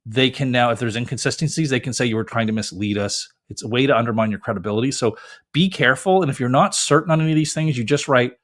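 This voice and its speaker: A man's voice: mid-range voice, fast, fairly bright tone. Male lawyer from Canada, mid-range voice, informal screen-share explainer, plenty of ums and restarts. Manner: casual, conversational, with frequent fillers and self-corrections.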